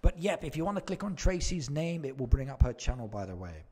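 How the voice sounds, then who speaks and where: A man's voice: medium pitch, sing-song, brisk, mellow, slightly rough. Male game streamer: chatty, casual commentary, moves between chat and screen, repeats himself. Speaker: live stream host, gamer.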